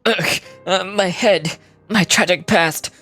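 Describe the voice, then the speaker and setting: A woman's voice: lowish voice, takes it slowly, fairly bright tone, soft background music, pitched below the performer's own voice. Woman playing a strained, deferential soldier. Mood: pained, dizzy. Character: dazed, deferential soldier serving a lord.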